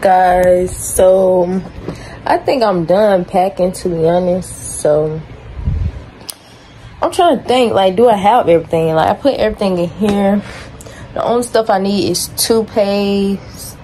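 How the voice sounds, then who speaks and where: A woman's voice: medium pitch, measured pace, dark tone, faint music behind, low-quality recording. A young woman, chatting casually to her phone in her bedroom, rambling with lots of fillers. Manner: laid-back, rambling, many fillers. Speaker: vlogger. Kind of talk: casual vlog chat to camera. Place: bedroom at home, indoors. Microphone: phone held or propped nearby.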